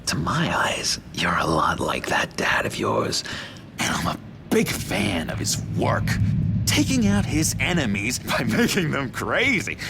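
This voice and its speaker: An actor playing a gleefully menacing, theatrical madman in a video game, creaky and swinging between hushed confiding and shouting. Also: mid-range voice, noisy background, exaggerated voice. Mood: sly needling. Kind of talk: dramatic video game acting.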